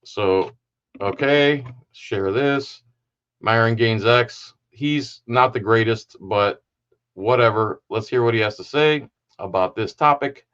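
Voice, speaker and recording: A man's voice: mid-range voice, takes it slowly, a bit bright, smooth, highs cut off. A man hosting a podcast, giving rambling, sarcastic political commentary. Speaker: podcast host.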